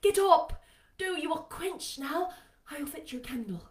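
A woman's voice: sits high, animated, unhurried, voice pushed up. A woman voicing an earnest young governess in a novel, urgent and pleading, deferential yet firm.

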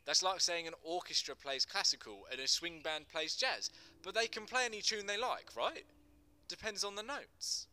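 A man's voice: high voice, lively intonation, normal speed, bright, forceful tone, pitched above the performer's own voice, exaggerated voice. A man acting an earnest gangster who explains things with emphatic passion in a dramatic screenplay reading. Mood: earnest, animated. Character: earnest Teddy Boy gangster, passionate non-binary teacher.

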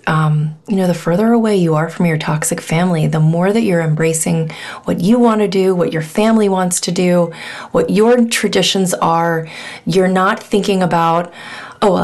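A woman with a cold hosts her podcast alone in an earnest, meandering way. Her voice is nasal and stuffed up and sits a little low. She talks loosely, with plenty of "um"s and "like"s. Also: gravelly, a little mellow. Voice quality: nasal, congested. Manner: earnest, rambling, with many fillers. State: has a cold. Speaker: podcast host. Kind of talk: casual solo podcast talk.

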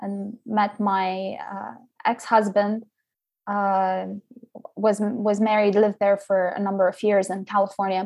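A middle-aged woman from Liberia, a scholar being interviewed, speaking reflectively with frequent fillers and restarts. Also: medium pitch. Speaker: guest scholar. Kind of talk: interview conversation.

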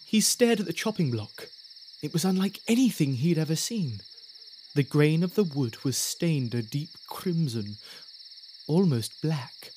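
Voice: medium pitch, animated, unhurried, darkish tone, a little hiss or hum, low-quality recording. A narrator telling a spooky audio drama story in scripted storytelling style. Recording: music behind the voice.

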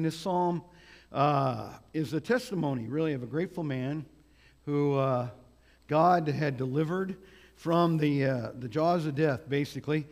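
A man with a big voice preaches at a church. He talks loosely, with many fillers and restarts. His voice has a gravelly edge, and the hall rings with long reverb. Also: on the high side, a little mellow. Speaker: guest preacher. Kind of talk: sermon. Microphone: microphone on a sound system.

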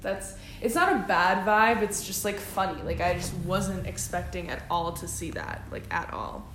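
A woman's voice: lowish voice, very animated, normal speed, a bit bright, reverberant, slight background noise, poor audio. A young woman co-hosting a casual podcast, chatting loosely with lots of fillers. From United States. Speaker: podcast co-host. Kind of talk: casual chat.

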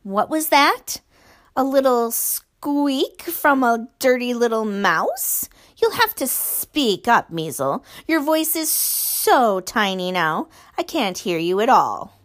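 Woman voicing a slow, taunting giant man. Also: very high voice, very animated, a bit bright, full character voice. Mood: mocking. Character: sinister, mocking giant man.

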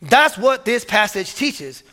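A man preaching a sermon as pastor to his church, energetic and emphatic, often repeating words as he builds to strong points. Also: very high-pitched, slow. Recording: long hall reverb.

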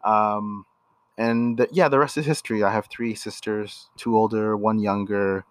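A young man from Canada co-hosting a casual couple podcast, relaxed and full of filler words. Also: on the low side, moderate pace, dry recording. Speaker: podcast co-host.

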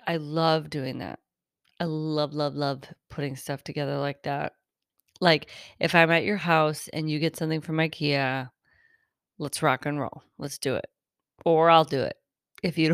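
A woman hosting her own improvised podcast, talking in a chatty, rambling way, her voice raspy and tired, partly lost. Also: sits low, no room sound, clean studio sound. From Northern California. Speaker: podcast host. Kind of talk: improvised solo talk. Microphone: close mic.

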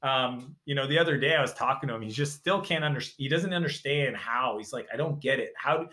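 Animated man, bright echoey voice. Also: mid-range voice, fairly quick. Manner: animated, emphatic storytelling. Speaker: guest. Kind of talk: casual interview talk.

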